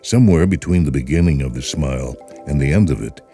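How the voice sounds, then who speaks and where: A man hosting and narrating a short story in an expressive, unhurried storytelling way. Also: very deep voice. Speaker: host and narrator. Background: faint music.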